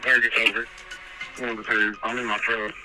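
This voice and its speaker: A man's voice: medium pitch, flat delivery, fairly quick. A male law enforcement officer talking in terse chatter over a police two-way radio. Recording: harsh police radio transmission, music underneath.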